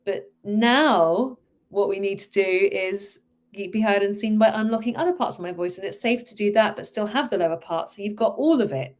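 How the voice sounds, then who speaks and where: A woman hypnotherapist who guides a relaxation with a soothing, slow cadence and also chats casually. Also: medium pitch, a little mellow. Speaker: hypnotherapist and host.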